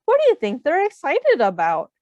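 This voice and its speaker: A woman reading a picture book aloud to young children in an expressive, teacherly way. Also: high-pitched, moderate pace. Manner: expressive, asks the listeners questions. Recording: muffled, echoey room sound.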